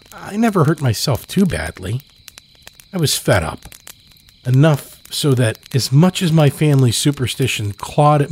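A man's voice: medium pitch, darkish tone, breathy edge. A man reading scripted narration as a storyteller and podcast host.

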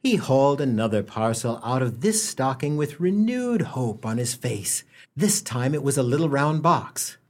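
Wry, swooping storyteller played by a man, slightly husky. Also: medium pitch, sing-song, moderate pace. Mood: wry, mock-delighted. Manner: wry, mock-solemn, dramatic emphasis. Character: wry humorist narrator gently mocking a boy's gifts. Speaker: storytelling narrator. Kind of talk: theatrical comic radio storytelling.

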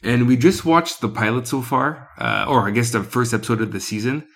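A man's voice: medium pitch, a little fast, a bit bright. Nasal man with a cold, joking. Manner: joking, rambling, self-correcting. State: has a cold, slightly nasal. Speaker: podcast co-host. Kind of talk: casual podcast chat.

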